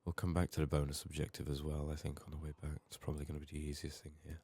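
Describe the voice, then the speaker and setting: A man giving soft-spoken ASMR game commentary, murmuring haltingly and slipping into whispers, his voice strained from being sick. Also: rumbling low, monotone, breathy edge. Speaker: ASMR gaming video host.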